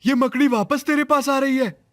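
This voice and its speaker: A man's voice: very high-pitched, normal speed, no room sound, clean studio sound. A man voicing a cheeky young schoolboy in a cartoon story who teases his friend.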